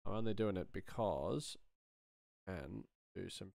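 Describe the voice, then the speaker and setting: Casual male tutorial host, 30s, low-pitched, thinking aloud, close dry mic. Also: a little slow, gravelly, darkish tone, dry recording. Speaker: sound designer, tutorial host. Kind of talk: casual screen-recorded demonstration, thinking aloud.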